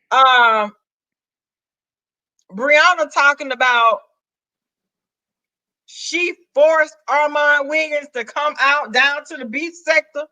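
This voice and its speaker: Brief: Southern woman live stream host, sassy and teasing, high bright voice. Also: dry recording, poor audio. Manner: sassy, teasing, calls others "girl" and "child". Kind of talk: casual banter on a live stream.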